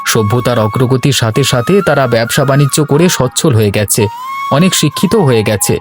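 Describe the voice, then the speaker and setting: Guy doing an older river nomad in a cartoon, thoughtful and measured, music behind him. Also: mid-range voice, natural voice. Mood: reflective. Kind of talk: cartoon dialogue acting.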